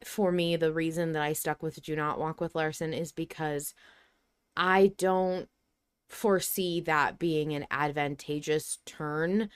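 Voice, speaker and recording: A woman with a fairly low voice talks casually as a podcast co-host. She works through her opinions out loud. The sound is close and dry, with no room to it. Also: steady tone, a little slow, smooth, no echo. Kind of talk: casual discussion of a story podcast.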